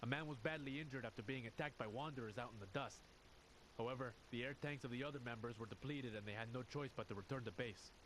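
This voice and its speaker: A man acting an earnest, troubled squad officer who confides deliberately in a video game audio log. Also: mid-range voice, background noise, close to an everyday voice. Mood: grave, uneasy.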